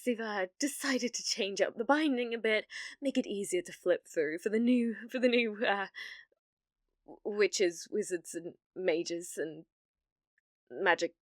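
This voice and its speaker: A woman's voice: medium pitch, no room sound, studio quality. A woman with a British accent playing a clumsy, obsessive, lovestruck young witch in an ASMR roleplay, with hesitant, awkward speech. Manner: flustered, stammering, hesitant, breathy murmuring asides.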